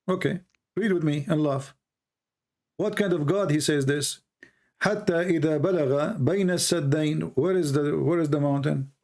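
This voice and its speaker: A man's voice: high-pitched, darkish tone. A man whose first language is Arabic, speaking English with an Arabic accent, arguing insistently as the host of a heated debate. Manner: combative, insistent, repeats words for emphasis.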